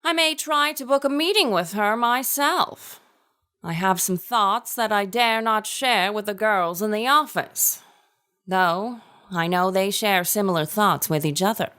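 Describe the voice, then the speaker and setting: A woman's voice: mid-range voice, a little slow, pushed and bright, clear, resonant tone. An actress playing an affectionate, teasing sister in a period audio drama, reading her letters aloud with composed, formal diction.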